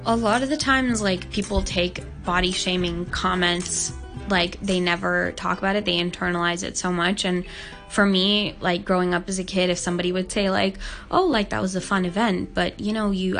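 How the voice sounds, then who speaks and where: A woman's voice: lowish voice, fairly even intonation, normal speed, fairly bright tone, soft background music. A nineteen-year-old woman from Hong Kong, a guest on an interview show, talking openly and earnestly with many "like" fillers. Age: young adult. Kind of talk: casual interview talk.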